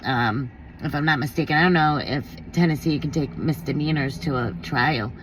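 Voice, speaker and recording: A woman with a slightly low voice talks through her opinions like a vlogger. She is blunt and informal, reasoning aloud in everyday slang as she goes. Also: normal speed, a little hiss or hum, poor audio. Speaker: commentary vlogger. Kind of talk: casual opinion commentary on a court case.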